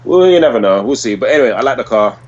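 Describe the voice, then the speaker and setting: A man's voice: medium pitch, expressive, very fast, dull audio, crowd noise. A man co-hosting a podcast in casual, slangy chat with some swearing. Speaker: podcast co-host. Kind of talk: casual chat about comic book movies.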